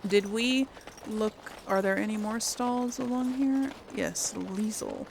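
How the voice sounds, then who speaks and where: A woman's voice: medium pitch, slow pace, creaky, a little hiss or hum. A woman giving casual role-play game commentary, hesitant and thinking aloud as she goes. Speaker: gaming video host.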